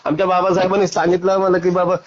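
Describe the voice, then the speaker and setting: A man talks rapidly in a high-pitched voice, blunt and combative. He presses his side of an argument insistently over a muffled, low-fidelity phone recording. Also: monotone. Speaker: activist arguing on a phone call. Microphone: phone call. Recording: dull lo-fi phone call recording.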